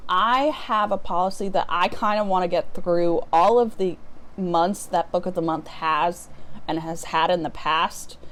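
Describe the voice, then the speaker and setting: A woman with a slightly low voice talks straight to her viewers like a book vlogger. Her delivery is casual and chatty, with a self-deprecating, informal way of putting things.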